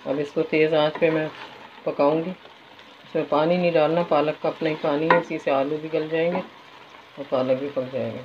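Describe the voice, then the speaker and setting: Woman with even pitch, noisy kitchen recording. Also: moderate pace. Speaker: home cooking vlogger. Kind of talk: casual recipe narration while cooking. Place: home kitchen, indoors. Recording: dull phone audio, loud frying sizzle. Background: frying, sizzling and stirring.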